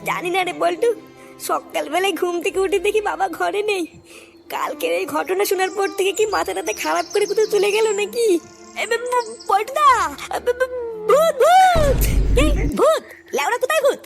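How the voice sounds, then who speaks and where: Squeaky, creaky cartoon boy. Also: expressive, normal speed, exaggerated voice. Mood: startled. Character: excitable cartoon son, easily startled, cheeky. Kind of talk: comic cartoon dialogue. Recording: faint music behind the voice.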